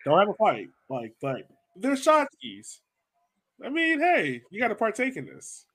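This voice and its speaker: A man's voice: sits high, huge pitch swings, a little slow, fairly bright tone, breathy edge. A man hosting a casual sports podcast, talking in an informal, colloquial, emphatic way. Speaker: podcast host.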